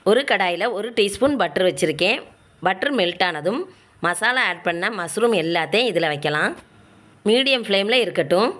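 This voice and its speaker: A woman's voice: mid-range voice, lively intonation, moderate pace, a bit bright. A woman with a southern Tamil Nadu accent, narrating recipe steps as a home cook in a plain, colloquial, instructional way. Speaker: home cook and cooking show host.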